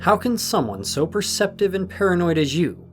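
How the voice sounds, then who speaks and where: A man's voice: high voice, sing-song, moderate pace, soft background music. A man playing a stealthy, condescending servant of a magical master in an audio drama, controlled and sinister. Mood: scolding. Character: stealthy, condescending male enforcer of a magical benefactor.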